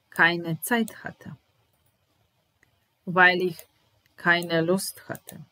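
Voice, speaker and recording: A woman in her thirties with a Serbian accent, teaching German slowly, repeating each phrase while typing, in a small room at home. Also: fairly low pitch, a bit bright, dry recording. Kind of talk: slow, deliberate language lesson.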